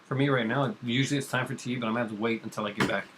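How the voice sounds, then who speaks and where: A man's voice: mid-range voice, steady tone, racing, noisy background. A middle-aged man hosting a casual chat vlog, rambling enthusiastically in a home kitchen. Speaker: vlog host. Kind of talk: casual chat about comics and horror films.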